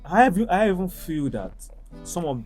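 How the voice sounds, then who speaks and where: A man's voice: high-pitched, sing-song, moderate pace, darkish tone, dry recording, soft background music. A man hosting an interview, asking probing follow-up questions. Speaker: host. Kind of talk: casual interview conversation.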